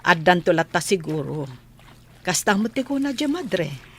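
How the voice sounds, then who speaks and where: A woman's voice: on the low side, big pitch swings, a little slow, a bit bright, breathy edge, exaggerated voice. A woman with a northern Philippine accent playing a matter-of-fact village mother in a radio drama. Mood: defensive, plain.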